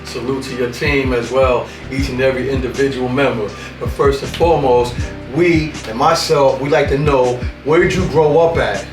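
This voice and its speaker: A man hosting a casual interview show, talking in laid-back street slang and giving shout-outs. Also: mid-range voice, fairly even intonation, normal speed, reverberant, far from the mic, over music. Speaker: host.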